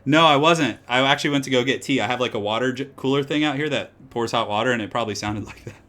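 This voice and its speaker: A man casting a strategy game tournament live and chatting with viewers, his slightly raspy voice getting hoarse, with excitable loud outbursts. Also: medium pitch, fast. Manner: excitable, breaks into loud exclamations.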